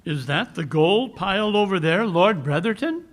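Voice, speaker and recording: An actor playing a coolly confident, analytical English detective with a measured, dry manner, in 1940s radio drama style. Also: male voice, high-pitched, expressive. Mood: dryly skeptical. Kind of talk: 1940s radio drama acting, performed live.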